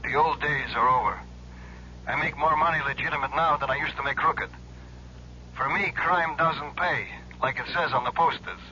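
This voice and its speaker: An actor playing a brash, sardonic reformed crook who runs a mortuary, heard through a telephone filter in a 1970s radio drama. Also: male voice, a little high, normal speed, pushed and bright. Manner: sardonic, colloquial. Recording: tinny, hissy telephone effect, old radio.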